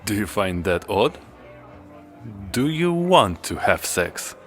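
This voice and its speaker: A man playing a reserved, solitary young therapist in an audio drama, speaking in a measured, formal, understated way. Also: on the low side, sing-song, fairly bright tone, full character voice. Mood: calm, direct. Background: music.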